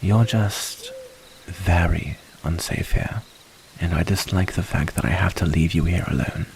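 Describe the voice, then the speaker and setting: A man playing a wary, earnest and protective werewolf in an ASMR roleplay, giving hushed warnings with breathy pauses. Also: low-pitched, rough recording. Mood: pleading concern.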